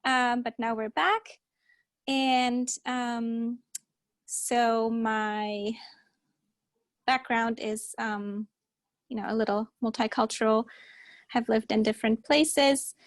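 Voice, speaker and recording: A woman in her thirties from Germany, speaking English with a German accent, introducing herself thoughtfully on a podcast with frequent pauses. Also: a little high, steady tone, dry recording, clean studio sound. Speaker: podcast co-host. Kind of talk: casual chat.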